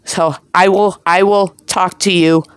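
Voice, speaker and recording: A woman with a slightly low voice leaves an angry voicemail, recorded close and dry. She speaks as an aggrieved tenant, combative and emphatic, and does not hold back on swearing. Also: steady tone, a little slow, clear, resonant tone, no echo. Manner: combative, emphatic, indignant, profane. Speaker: tenant leaving voicemail complaints.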